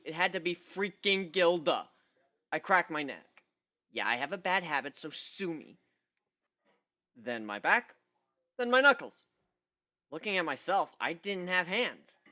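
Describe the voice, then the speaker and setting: A man's voice: on the high side, sharp tone. A man giving a sarcastic, theatrical first-person dramatic reading of a story. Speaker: narrator.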